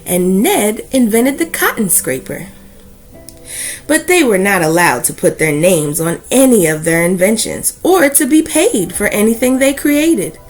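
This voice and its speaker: A woman reading a children's picture book aloud as host, in a deliberate, emphatic way with dramatic pauses. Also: medium pitch, animated, soft background music.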